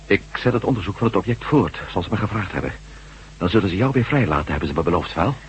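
A low male voice, a steady, determined adventurer in a radio drama. He speaks tersely and earnestly, and there is faint hiss on the old recording. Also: fast, in a large hall. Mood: guarded, terse. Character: determined male former astronaut turned reporter, dry and steady.

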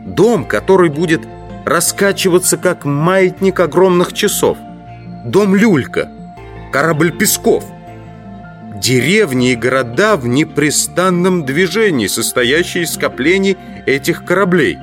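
A man playing a pensive entomologist who thinks aloud in an audiobook drama, with deliberate, reflective phrasing. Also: a little high, animated. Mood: rapt musing. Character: pensive entomologist absorbed in abstract speculation. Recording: music behind the voice.

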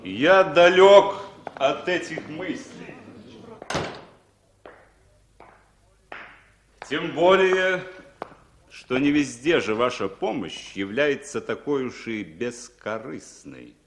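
An actor playing a smooth, sly foreign businessman in radio drama, insinuating and theatrical. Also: fairly high pitch, slow pace, a little hiss or hum. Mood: suave, pointed. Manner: suave, insinuating, theatrically expressive. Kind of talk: 1960s radio drama acting.

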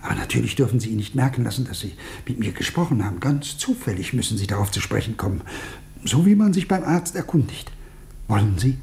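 Anxious old dad in a radio play, creaky mellow voice, shy, earnest, pleading. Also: male voice, fairly low pitch, expressive, fast, slight background noise. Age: older. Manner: hesitant, apologetic, earnest. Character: humble, anxious old father, devoted and pleading. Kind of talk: dramatic German radio play acting.

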